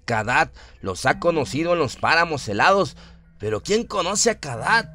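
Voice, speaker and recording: A man giving a solemn, theatrical narration of a horror radio drama, with deliberate phrasing. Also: fairly high pitch, very animated, bright, forceful tone. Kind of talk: dramatic scripted horror narration, audiobook-like reading. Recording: music under the voice. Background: atmospheric music bed.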